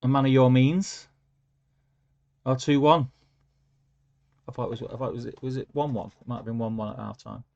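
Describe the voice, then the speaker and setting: A man's voice: medium pitch, steady tone, moderate pace, vocal fry, long pauses, a little mellow. A man hosting a casual livestream, reading out viewer comments with lots of fillers and humming to himself. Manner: rambling, filler-heavy, hums while scrolling. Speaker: livestream host. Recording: lo-fi stream audio.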